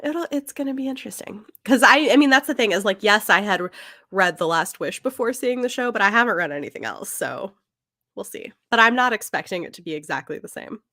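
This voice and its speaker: A woman's voice: mid-range voice, lively intonation, a little fast, sharp tone, no echo, studio quality. A woman co-hosting a podcast, chatting casually about books in a tentative, conversational way. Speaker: podcast co-host. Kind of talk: casual book discussion.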